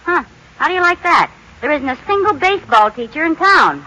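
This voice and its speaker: An actress playing a scatterbrained, eager housewife in an old radio sitcom, with a theatrical, emphatic, wisecracking delivery. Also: female voice, sits high, sing-song, brisk, sharp tone, exaggerated voice. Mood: eager, scheming. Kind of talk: 1940s-50s radio sitcom acting. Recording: noisy vintage radio recording.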